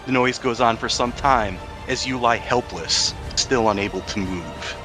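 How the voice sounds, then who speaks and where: A man's voice: medium pitch, measured pace, music in the background. A man acting as game master of a tabletop game, shifting between dramatic narration and casual hosting. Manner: dramatic storytelling delivery.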